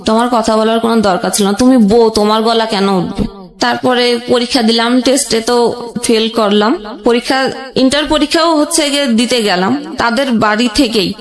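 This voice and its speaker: A young woman with a Bangladeshi accent telling her own life story conversationally, in a halting, plain way with frequent rephrasing. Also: a little high, fairly even intonation. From Jashore region, Bangladesh. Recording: roomy echoing sound.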